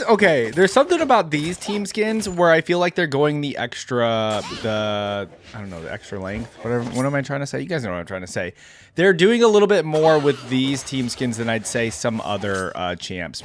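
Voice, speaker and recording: A man hosting a gaming reaction video, commenting casually off the cuff and thinking out loud with easy surprise. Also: medium pitch, lively intonation, fairly quick, a little hiss or hum. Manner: easily amused, thinks out loud, exclaims with surprise.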